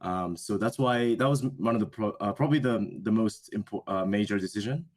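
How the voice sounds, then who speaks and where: Young man, native Japanese speaker, low voice, thoughtful, hesitant, restarts sentences. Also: brisk. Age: young adult. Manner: thoughtful, hesitant, self-correcting. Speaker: interview guest, business school student. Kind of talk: conversational interview answers.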